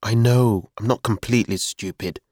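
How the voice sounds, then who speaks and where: A man's voice: fairly even intonation, moderate pace, darkish tone, no echo, studio quality, lightly acted. A man voicing a resentful, hot-tempered seventeen-year-old boy, defensive and emotional in an audiobook story. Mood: angry, defensive. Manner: defensive, emotional, raised high voice when upset.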